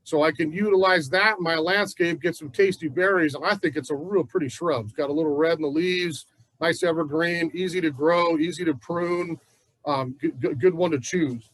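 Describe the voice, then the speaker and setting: Chatty, folksy male nursery instructor, slightly high voice, dry close sound. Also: normal speed, a bit bright, no room sound, low-quality recording. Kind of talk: casual gardening class talk. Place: plant nursery.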